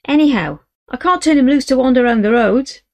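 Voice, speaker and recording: A woman's voice: on the high side, animated, quick pace, creaky, mellow, no echo, voice pushed up. A woman voicing an earnest young boy in a storybook reading. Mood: desperate.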